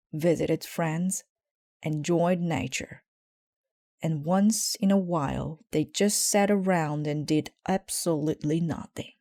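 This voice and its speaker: Slow-paced woman reading a children's story. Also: sits low, warm tone, no room sound, studio quality. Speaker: storybook reader. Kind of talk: scripted children's story narration, read aloud.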